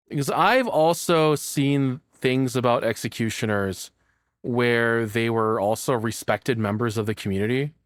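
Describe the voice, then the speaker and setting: A man's voice: medium pitch, dry recording, studio quality. A man giving casual, off-the-cuff reaction commentary as a streamer, rambling, joking and swearing as he goes.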